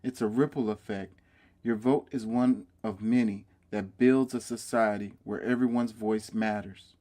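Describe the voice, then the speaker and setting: A man's voice: on the low side, steady tone. A man hosting, reading an earnest civic voice-over and chatting casually with his audience, saying "y'all". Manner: earnest and measured in narration, loud and chatty when live. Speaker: host. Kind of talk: scripted voice-over narration and casual live talk.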